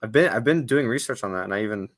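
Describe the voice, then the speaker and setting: A man's voice: lowish voice, expressive, rapid-fire. A man hosting a casual video interview, who rambles, jokes and stumbles over his words. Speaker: interviewer host.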